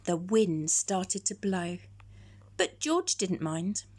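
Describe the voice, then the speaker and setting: A woman reading a children's story in a measured, expressive storytelling manner. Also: on the low side. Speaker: storytime reader. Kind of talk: scripted children's story narration.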